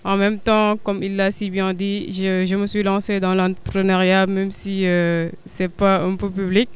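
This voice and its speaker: Young Senegalese woman, flat, smooth. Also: medium pitch, measured pace. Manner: hesitant, many filler sounds, earnest. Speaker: guest. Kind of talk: interview answers.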